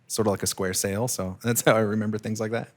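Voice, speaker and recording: A man's voice: fairly low pitch, steady tone, quick pace. A man in his thirties, a startup founder, talking casually with many fillers and restarts in an interview.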